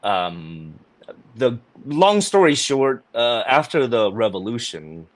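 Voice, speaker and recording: A man's voice: medium pitch, big pitch swings, takes it slowly. A man from China, a guest actor chatting casually in an interview, laughing easily and restarting his sentences.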